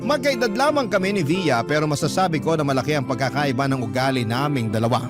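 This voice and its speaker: Higher-pitched Filipino male radio storyteller. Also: animated, fast. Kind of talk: first-person dramatic story narration, reading a listener's letter. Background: background music.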